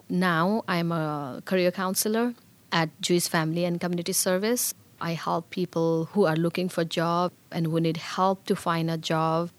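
A middle-aged woman from Bhutan, a native Nepali speaker with a Bhutanese Nepali accent, earnestly recounting her life story in an interview. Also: lowish voice. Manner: reflective, earnest. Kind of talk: personal storytelling.

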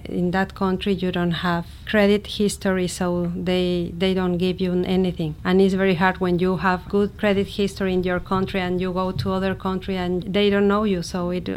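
A middle-aged woman from Mexico City with a Mexican accent, a native Spanish speaker telling her personal story in simple, halting learned English. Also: on the low side, a little hiss or hum. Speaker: interviewee, immigrant teacher. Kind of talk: personal story told in an interview.

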